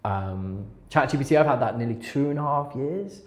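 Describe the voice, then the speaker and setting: A man's voice: mid-range voice, animated, normal speed. A man talking casually as a podcast guest, informal and hesitant, repeating words for emphasis. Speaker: podcast guest, recruitment technology consultant. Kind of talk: casual interview chat. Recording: echoey room sound.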